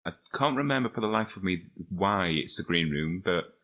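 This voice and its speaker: A man with a lowish voice that has a bright, forward edge. He chats as a podcast co-host, offhand and informal, with a jokey streak. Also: expressive, normal speed. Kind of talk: casual chat.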